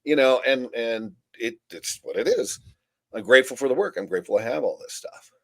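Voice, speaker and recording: A middle-aged man from the United States holds forth on a casual podcast, blunt and opinionated. His voice sits in a mid register. He leaps up and down in pitch as he mimics people and drives his points home. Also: brisk, gravelly. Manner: blunt, animated, acts out whiny quotes. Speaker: podcast co-host.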